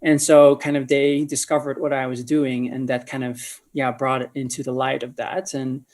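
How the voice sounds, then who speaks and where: A man from the Netherlands, telling a personal story as an interview guest in a halting, think-aloud way. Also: mid-range voice, level tone, a little mellow. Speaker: podcast guest, ministry leader.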